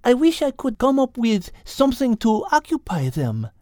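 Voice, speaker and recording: A man voicing a thoughtful, courteous little female cat in a storybook, with a French accent and gently precise speech. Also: very high-pitched, huge pitch swings, moderate pace, dry recording, studio quality, pitched above the performer's own voice, heavily acted. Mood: thoughtful, concerned.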